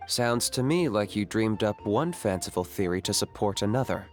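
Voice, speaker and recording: A man playing a cool, aloof young hunter in a video game, terse and deadpan. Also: lowish voice, moderate pace, faint music behind, natural voice. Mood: dry, detached.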